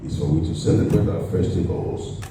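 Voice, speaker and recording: A middle-aged community leader, a man, answering interview questions in a measured way and listing his points one by one. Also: deep voice. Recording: echoey room, voice far from the mic, faint noise.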